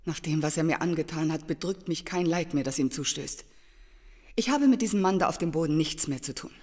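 An actress playing a bitter, resolute young heiress, speaking earnestly in a German audio drama. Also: female voice, low-pitched, a little fast, darkish tone. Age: young adult. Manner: earnest, embittered storytelling.

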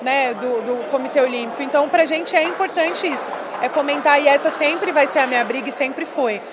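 Ex-volleyball player lady, high voice, talks fast and emphatic, lots of filler, noisy expo hall behind. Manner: chatty, emphatic, many filler "né". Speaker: former volleyball player, interviewee. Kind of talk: casual interview. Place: busy expo hall, indoors. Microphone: handheld mic held by interviewer.

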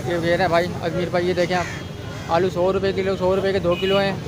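A man's voice: high-pitched, monotone, quick pace, background noise. A male vlogger walking through an outdoor market stall, filming on his phone and upbeat as he repeats prices to the camera. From Pakistan. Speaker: vlogger host. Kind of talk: walking market tour vlog.

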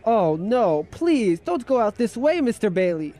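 A man's voice: high-pitched, big pitch swings, a little slow, mellow. A man playing an anxious, kindly bar owner in a radio drama, imploring and fretful. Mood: pleading.

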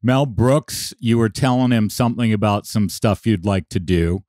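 A man co-hosting a podcast, chatting casually and summing up points in a relaxed way. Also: on the low side, normal speed, no room sound, studio quality. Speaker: podcast co-host.

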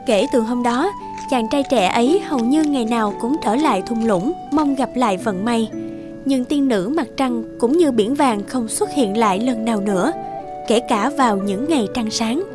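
A woman narrating a scripted fairy tale for a children's cartoon in a measured storytelling manner. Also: a little high. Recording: music clearly behind the voice.

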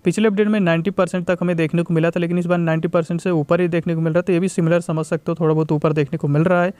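A man's voice: on the high side, little pitch movement, racing, darkish tone, clear, resonant tone, no room sound. A man giving a casual, repetitive tech review voice-over, mixing in English tech terms.

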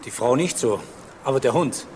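An actor playing a plain-spoken, insistent bus driver in a German crime radio drama, talking colloquially. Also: male voice, medium pitch, lively intonation, normal speed, a little mellow, a little hiss or hum, natural voice.

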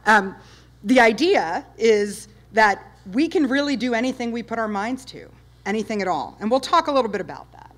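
A woman in her fifties giving an enthusiastic public talk with slides, loud and emphatic, firing rhetorical questions at the audience. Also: a little high, expressive, normal speed. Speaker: guest speaker, space history presenter. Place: dark lecture hall with projector screen.

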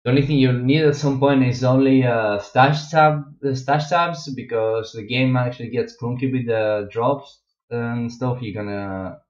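A man's voice: medium pitch, a little slow. A young Russian-born man with a Russian accent, chatting casually as a streamer in his gaming room, rambling with many fillers and restarts. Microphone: wireless headset. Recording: echoey, dull lo-fi home mic.

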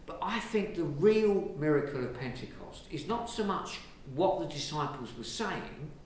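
An Englishman, a priest, giving a lively, conversational sermon from the pulpit of a large church, filmed from a distance. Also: a little high, measured pace. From England. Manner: lively, conversational preaching with gestures. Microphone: far camera. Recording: very echoey church from far off, faint hiss.

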